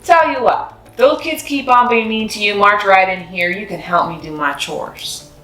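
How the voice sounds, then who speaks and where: A woman's voice: mid-range voice, moderate pace, natural voice. Woman voicing a kindly, jolly older man, roomy echo. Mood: encouraging. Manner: warm, reassuring, emphatic. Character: kindly, jolly older male school janitor. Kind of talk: children's storybook read-aloud. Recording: roomy echo, music behind.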